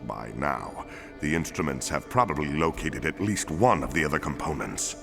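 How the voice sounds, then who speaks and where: An actor playing a large, courteous, grave four-armed alien in a video game, speaking formally and deliberately. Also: male voice, extremely low pitch, lively intonation, gravelly, full character voice. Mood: measured, businesslike. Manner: formal, deliberate phrasing with hesitant pauses. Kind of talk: scripted video game cutscene acting. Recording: long hall reverb, loud music behind.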